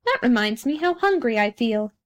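A woman's voice: fairly high pitch, big pitch swings, normal speed. A woman voicing a placid, practical female duck from a storybook, with a peaceable, matter-of-fact manner. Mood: chatty, domestic. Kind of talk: storybook character reading.

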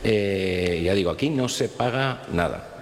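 A man with an accent from Spain, speaking in a halting, conversational way in an informal interview. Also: fairly low pitch, expressive. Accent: Spanish from Spain. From Valencia region, Spain. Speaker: guest, public cultural centre manager. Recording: reverberant hall with faint noise.

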